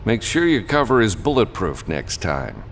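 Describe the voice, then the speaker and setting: Gruff, gravelly male gunman, as a narrator plays him. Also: fairly low pitch, very animated, normal speed, big-room sound, slight background noise, pitched above the performer's own voice. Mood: dry. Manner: terse, gruff. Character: Matthew, gruff solo freelance gunman, terse and commanding. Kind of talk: audiobook character dialogue.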